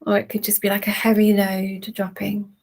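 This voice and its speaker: A woman leading a guided relaxation in a soothing, gentle manner with long, unhurried pauses. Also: medium pitch, completely flat delivery, gravelly. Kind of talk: guided relaxation, meditation narration.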